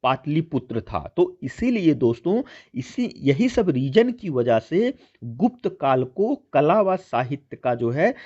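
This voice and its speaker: A man's voice: on the high side, very animated, slow, hardly any pauses, darkish tone, lo-fi sound. A man teaching an exam-prep lesson, addressing listeners as friends and repeating key points as he explains. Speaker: teacher hosting a history quiz channel.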